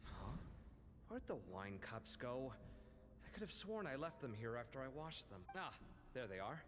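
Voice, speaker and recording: Man voicing Kaveh, an animated young scholar. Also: mid-range voice, normal speed, big-room sound, close to an everyday voice. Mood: fussy. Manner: animated, chatty, dramatic. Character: Kaveh, expressive, fussy young male scholar. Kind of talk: anime video game voice acting.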